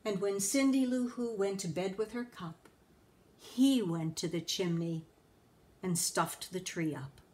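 A woman in her sixties reading a children's storybook aloud expressively, deliberately and with emphatic pauses. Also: medium pitch, mellow. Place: living room at home.

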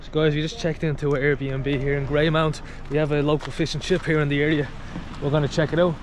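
A man's voice: fairly high pitch, level tone, fast talker. Chatty man narrating a road trip. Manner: chatty running commentary, upbeat. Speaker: travel vlogger. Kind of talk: casual travel vlog commentary. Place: car interior and outdoors.

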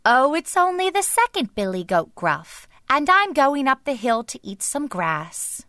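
Storybook middle goat, voiced by a woman: pleading, bargaining, raised pitch with lively swoops. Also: unhurried, no echo, studio quality, exaggerated voice. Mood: nervous, polite. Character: middle billy goat, bigger but pleading.

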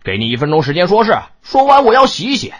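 A performer voicing a brash, excitable young man, a blunt and boastful best friend. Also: mid-range voice, quick pace. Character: brash, excitable, foul-mouthed young male buddy.

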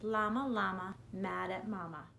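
A woman reads a children's picture book aloud in an expressive, rhythmic, deliberate way for young listeners. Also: medium pitch, vocal fry, a little hiss or hum. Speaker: preschool teacher reading aloud. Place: bedroom at home.

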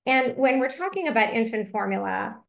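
A middle-aged woman pediatrician presents in a webinar over a video call. Her voice carries a gravelly fry at the edges, and she explains in a clear, earnest way for families. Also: fairly high pitch, lively intonation, fairly quick. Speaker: pediatrician, medical advisor.

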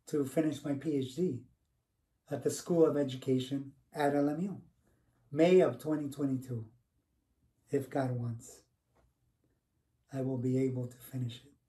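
Actor playing an earnest family-man educator, mellow, off-mic. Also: mid-range voice, lightly acted. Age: middle-aged. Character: devoted middle-aged educator, grateful son and family man. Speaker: actor performing a monologue. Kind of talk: dramatized first-person narrative, conversational. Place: small home room, indoors. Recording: distant mic in a room.

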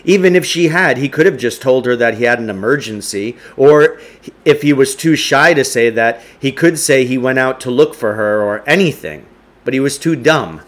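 Man, middle-aged, medium pitch, story narration, recorded at home. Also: lively intonation, moderate pace, fairly bright tone. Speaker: teacher reading aloud. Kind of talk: audiobook-style story reading. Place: indoors, home living room with wood stove.

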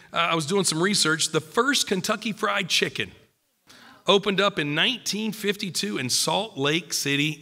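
A middle-aged man preaching at a pulpit, emphatic and joking, a little croaky from a cold. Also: high voice, bright, forceful tone, in a large hall. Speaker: pastor. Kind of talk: sermon. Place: church stage at a wooden pulpit. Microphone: podium mic.

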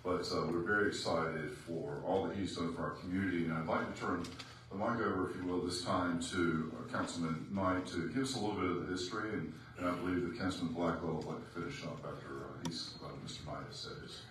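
A man's voice: deep voice, fairly even intonation, fairly quick, a bit bright, slight background noise. A man presiding as mayor over a city council meeting, speaking in a genial, joking way. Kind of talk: formal meeting chair remarks. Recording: echoey chamber from far off.

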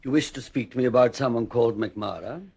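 An actor playing a sinister, wealthy gentleman with a soft, snaky, silky voice and a polished, condescending manner, in old-style radio drama acting. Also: medium pitch, fairly quick, creaky, breathy edge. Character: smooth, sinister gentleman racehorse owner.

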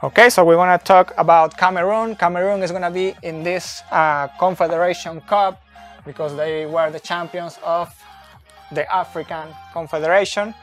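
A man in his thirties whose first language is not English, explaining soccer tactics slowly at a whiteboard, recorded on a phone camera indoors. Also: high-pitched, steady tone, a bit bright, soft background music. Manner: slow, deliberate, with fillers and restarts, pointing at a board. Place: indoor room with a brick wall and whiteboard. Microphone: phone camera, close and moving.

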